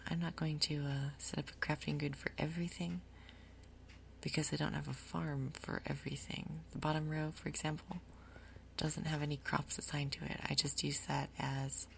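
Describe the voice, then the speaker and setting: A woman doing soft-spoken ASMR game commentary in a breathy half-whisper, with a casual, rambling, unhurried manner. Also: deep voice, flat delivery, a little hiss or hum. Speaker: gaming video host.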